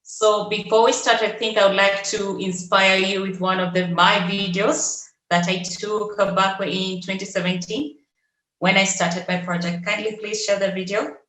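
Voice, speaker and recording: A woman from Kenya, presenting on a video call in a halting way, with many fillers and restarts. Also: mid-range voice, level tone, fairly bright tone, echoey room, low-quality recording. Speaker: webinar presenter.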